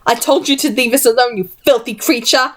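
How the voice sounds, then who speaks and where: A brittle, furious young lady with a thin, trembling voice pitched well above a normal speaking register, played by a woman reading an audiobook. She shrieks her words in sharp bursts. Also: fairly quick, lightly acted. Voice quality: shrill, trembling.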